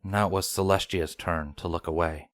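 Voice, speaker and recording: A man's voice: sits low, steady tone, normal speed, dry recording, studio quality. A man narrating a scripted story as the narrator of a fan fiction audio drama.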